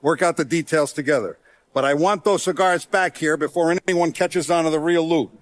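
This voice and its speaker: A man's voice: on the high side, no echo, natural voice. Guy playing a hardened mob boss from a video game, commanding, calculating, streetwise, dry close sound. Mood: businesslike, scheming. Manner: deliberate, authoritative, streetwise gangster talk. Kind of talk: video game character acting.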